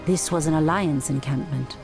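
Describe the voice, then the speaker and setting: An actress playing a brave, compassionate night elf priestess and leader in a fantasy video game, formal and commanding. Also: female voice, deep voice, moderate pace, vocal fry, over music. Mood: calm, caring. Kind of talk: dramatic video game cutscene acting.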